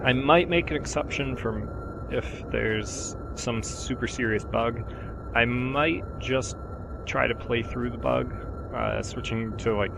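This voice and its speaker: Sore-throated man with a gravelly voice. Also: medium pitch. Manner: relaxed, rambling, many ums and uhs. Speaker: gaming channel host. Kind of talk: casual gameplay voiceover commentary. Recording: steady background noise.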